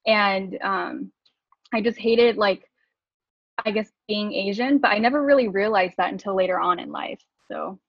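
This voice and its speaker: A woman's voice: medium pitch, normal speed, no echo, dull audio. A woman from Southern California who grew up speaking Mandarin and English, co-hosting a podcast in a candid, reflective way and laughing often. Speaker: podcast co-host. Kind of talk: casual conversation.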